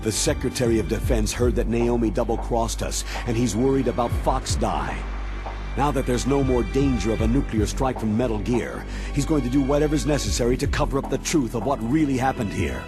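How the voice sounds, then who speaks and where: A man's voice: medium pitch, brisk, lightly acted. Game commander, older American man, acted: sober, matter-of-fact military authority, medium register, with score underneath. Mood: grave. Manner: grave, matter-of-fact briefing. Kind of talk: dramatic video game acting. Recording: background music under the dialogue.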